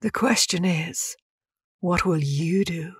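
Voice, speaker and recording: An old, troubled woman seer from an audio drama performed by an actress. Her voice is low and husky, speaking gravely and cryptically in a dry, close recording. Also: slow pace, dry recording, heavily acted. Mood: grave, warning. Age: older.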